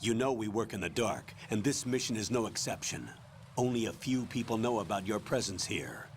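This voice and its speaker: An actor playing a stern military colonel in a video game, giving briefings in an authoritative, measured, matter-of-fact way. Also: male voice, fairly low pitch, animated, slight background noise. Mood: briefing, firm. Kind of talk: dramatic video game voice acting.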